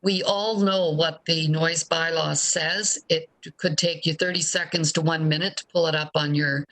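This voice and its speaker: Woman, sharp bright tone, council member, unscripted meeting remarks. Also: low voice, fairly even intonation, normal speed, low-quality recording. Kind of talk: formal meeting remarks, off the cuff.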